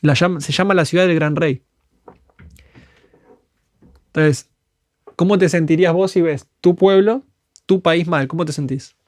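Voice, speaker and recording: Fervent Argentine man with a higher voice. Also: unhurried, long pauses, darkish tone, no room sound, clean studio sound. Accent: Argentine Spanish. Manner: earnest and fervent, acts out prayers as quotes. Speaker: guest speaker. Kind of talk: conversational preaching on a podcast.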